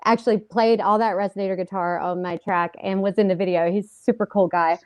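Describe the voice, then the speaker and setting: Woman singer guest, chatty and upbeat, mid-pitched voice, dry close recording. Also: steady tone, brisk, dry recording. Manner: chatty, upbeat, with many fillers. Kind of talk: casual interview chat.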